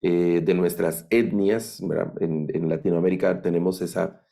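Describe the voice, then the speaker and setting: A middle-aged man with a Guatemalan accent giving an online lecture on biblical languages, thoughtful and halting, with many fillers and restarts. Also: deep voice, fairly even intonation, creaky, warm tone. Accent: Guatemalan Spanish. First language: Spanish. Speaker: Bible language instructor.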